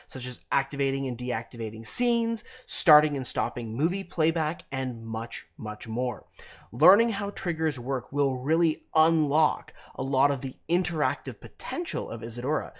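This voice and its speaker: A man in his thirties presenting a software tutorial, explaining deliberately phrase by phrase, close to the mic. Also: medium pitch. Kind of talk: instructional screencast narration.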